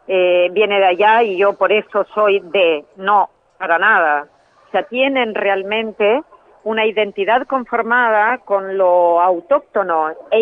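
A woman guest calling in by telephone, explaining ideas in a measured way and sometimes correcting herself mid-phrase. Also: medium pitch, dry recording. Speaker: phone-in guest, trainer in biological decoding. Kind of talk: explanatory interview answers. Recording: tinny phone line.

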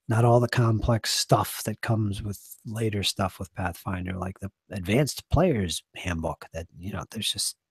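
A middle-aged man with a hoarse, husky voice talks loosely to his viewers, rambling and trailing off into near-murmurs. His voice sits a bit low, and the sound is close and dry from a desk microphone in a small study. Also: dry recording. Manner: rambling, earnest, trailing off quietly. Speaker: game master and channel host. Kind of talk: casual live-stream chat. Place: small home study with shelves.

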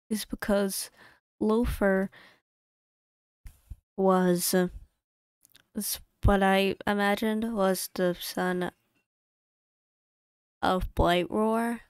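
Smooth-voiced woman rambling over her drawings. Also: medium pitch, darkish tone, dry recording. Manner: rambling, self-correcting, quick asides. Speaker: YouTube creator. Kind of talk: casual voice-over narration of a game playthrough. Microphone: home desk mic.

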